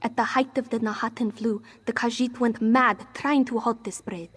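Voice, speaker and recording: A woman's voice: on the high side, fairly even intonation, normal speed, slightly rough. A woman voicing an anxious, street-hardened female cat-folk thief in a fantasy game, defensive and speaking in formal, contraction-free phrasing. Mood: grim. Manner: refers to herself in third person, formal contraction-free phrasing. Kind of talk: fantasy game character dialogue.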